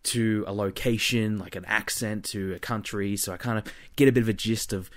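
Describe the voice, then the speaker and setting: A man's voice: lowish voice, fairly even intonation, a little fast. A man hosting a podcast solo, chatting informally and bluntly to his listeners. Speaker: podcast host. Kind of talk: casual solo podcast talk.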